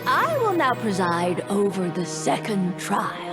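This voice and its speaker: A playful, theatrical witch from a video game. She speaks slowly and grandly, with very animated intonation and a teasing edge. Loud music plays behind her. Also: medium pitch. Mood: grandly presiding. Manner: grand, teasing, drawn-out delivery. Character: playful, theatrical older witch in a toy body. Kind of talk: scripted video game voice acting.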